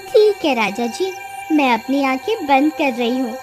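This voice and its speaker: A woman's voice: very high voice, voice pushed up, full character voice. Woman voicing a taunting cartoon forest witch. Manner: menacing, taunting and coy. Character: cruel cackling female forest witch. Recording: music behind the voice.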